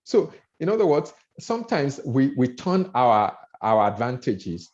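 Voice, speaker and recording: A man's voice: medium pitch, sing-song, unhurried, a little mellow. Nigerian man coaching speakers, lo-fi. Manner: earnest, repeats words and restarts often, rising emphasis on key points. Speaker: speaker trainer. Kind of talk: coaching talk on public speaking. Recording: dull lo-fi audio.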